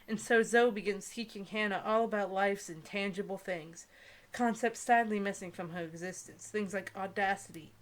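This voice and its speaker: A woman's voice: mid-range voice, normal speed, rough recording. A woman co-hosting a comedic book show, mixing dramatic reading aloud with casual, sarcastic commentary.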